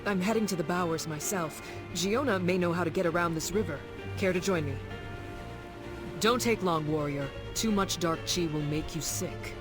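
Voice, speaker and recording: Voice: mid-range voice, normal speed, natural voice. An actor playing a friendly, watchful scout fighter in a video game, with a casual, wry manner. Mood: wry, concerned. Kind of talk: video game character acting. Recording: game music behind.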